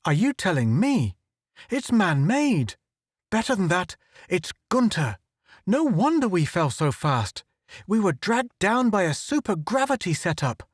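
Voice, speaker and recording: A man's voice: high voice, no room sound, clean studio sound, voice pushed up, exaggerated voice. A man doing a jittery little wisecracker. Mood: wry. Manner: quick, excitable, wry. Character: small nervous wisecracking photographer, man.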